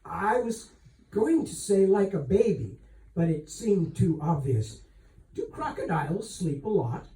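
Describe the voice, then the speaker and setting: A man voicing a gracious, frank and self-doubting queen in a novel reading, measured and reflective. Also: sits high, very animated, mellow, full character voice. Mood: amused. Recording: roomy echo, distant microphone.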